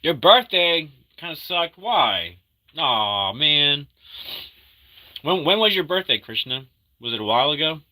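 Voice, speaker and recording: A man in his thirties, sick, chatting casually and halting often while reading viewers' comments. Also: a little high, big pitch swings, pushed and bright. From Argentina. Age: 30s. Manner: rambling, halting, full of fillers and restarts; upbeat when greeting viewers. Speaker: livestreamer reading chat. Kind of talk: casual live-stream chat.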